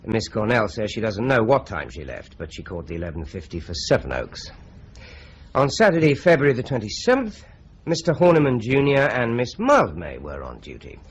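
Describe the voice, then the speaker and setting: An actor playing a methodical male detective who reads out alibis in a measured, deliberate way in a radio drama. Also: fairly low pitch, wildly swooping pitch, a little hiss or hum. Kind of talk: 1950s-style BBC radio drama.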